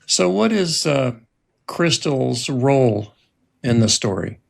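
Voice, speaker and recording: A man speaks as an interviewer in a conversational, slightly halting style. His voice sits at a middling pitch with a noticeable gravelly creak. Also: lively intonation. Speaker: interviewer, host.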